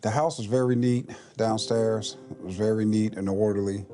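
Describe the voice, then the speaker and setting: Male police investigator, middle-aged, soft dark tone, matter-of-fact interview answers. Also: lowish voice, fairly even intonation, normal speed. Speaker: police lieutenant, homicide investigator.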